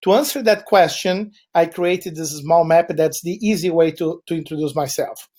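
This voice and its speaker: A man's voice: fairly high pitch, moderate pace, darkish tone. A man from Chile with a Brazilian Portuguese accent, Portuguese his first language, giving a webinar presentation in a meandering way with frequent fillers.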